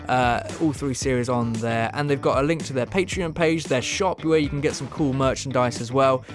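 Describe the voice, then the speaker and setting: A man's voice: mid-range voice, normal speed, faint music behind. A man hosting a podcast, casually giving thanks, plugs and sign-offs. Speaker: podcast host.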